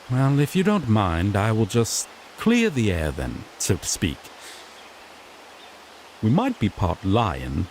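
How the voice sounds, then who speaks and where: A man's voice: mid-range voice, a little mellow, slight background noise, exaggerated voice. A man playing a sassy, exasperated griffin knight in a fantasy audio roleplay, pompous one moment and sardonically grumbling the next. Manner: pompous proclamations slipping into flustered, sardonic grumbling.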